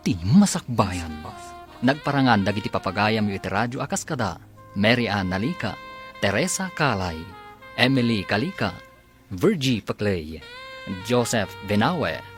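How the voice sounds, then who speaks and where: A man's voice: lowish voice, sing-song, measured pace, faint music behind. A man with an Ilocano accent announcing a radio drama's credits in a scripted delivery.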